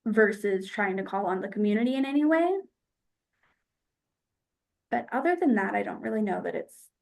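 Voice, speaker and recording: A young American woman speaks in an even middle voice. She answers interview questions casually, hesitates now and then, and reflects as she goes. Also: medium pitch, gravelly, poor audio. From United States. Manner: thoughtful, hesitant, with fillers.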